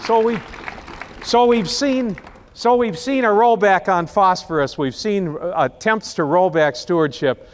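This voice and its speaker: A man giving an impassioned speech at a rally, slowing down to stress key words. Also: sits high, big-room sound, some chatter behind, slight background noise. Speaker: rally speaker introducing the next speaker.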